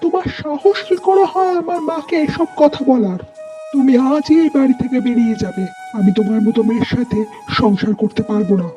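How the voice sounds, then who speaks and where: A man's voice: very high voice, brisk, mellow, dull audio, soft background music. A man playing a protective, stern grown son and husband in a cartoon family drama, firm and commanding. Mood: stern, angry. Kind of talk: animated family melodrama acting.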